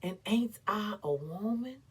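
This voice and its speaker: Husky woman in slow, impassioned dramatic recitation. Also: medium pitch, lively intonation. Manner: impassioned, emphatic, with repeated phrases and long dramatic pauses. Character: impassioned, defiant woman orator, formerly enslaved, weary but proud. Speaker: performer reciting a historical speech. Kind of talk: dramatic theatrical recitation of an oratory monologue.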